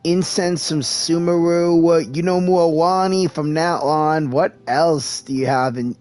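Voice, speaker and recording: High-voiced, slow-talking male game streamer. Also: fairly even intonation, darkish tone. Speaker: gaming streamer and amateur voice-over artist. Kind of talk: live game playthrough, voicing replies to characters. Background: game music.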